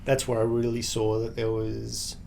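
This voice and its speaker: A man from Australia, a guest on an interview podcast, explaining his background in a measured way with frequent restarts. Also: mid-range voice, monotone, creaky, darkish tone, a little hiss or hum.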